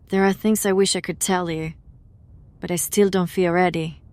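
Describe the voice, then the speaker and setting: An actress playing a reserved, secretive but caring friend in an audio drama, speaking earnestly. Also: female voice, fairly low pitch, steady tone, a little slow, clean tone, no echo, natural voice. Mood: apologetic, earnest. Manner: earnest, gentle wording.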